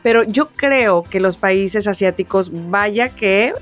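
A woman's voice: medium pitch, slow, faint music behind. A woman with a Mexican accent chatting casually as a podcast co-host. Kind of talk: casual chat.

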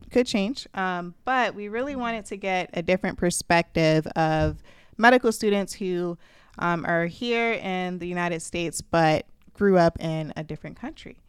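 A young woman co-hosting a relaxed podcast, her voice very smooth and clean. She banters quickly and teases her guests. The sound is close and dry, with no room around it. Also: on the low side, no room sound. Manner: playful, quick banter, teasing. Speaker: podcast co-host. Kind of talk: casual podcast chat.

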